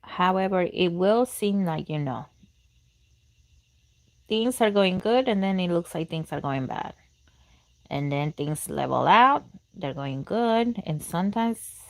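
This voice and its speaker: A woman whose first language is Spanish, doing a chatty live tarot reading and answering questions from chat as she thinks aloud. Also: fairly low pitch. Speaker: tarot reader.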